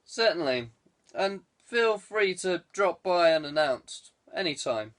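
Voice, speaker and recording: A man's voice: high voice, lively intonation, takes it slowly, fairly bright tone, full character voice. A man voicing a clever, guarded man who speaks with courteous evasiveness in an audiobook. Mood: guarded politeness. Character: guarded, clever man fending off police questions. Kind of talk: audiobook character dialogue.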